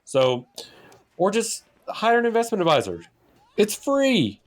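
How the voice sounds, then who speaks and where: A man's voice: high-pitched, wildly swooping pitch, a little slow. A man with an American accent in casual podcast discussion, explaining with emphasis and rhetorical questions. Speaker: podcast co-host.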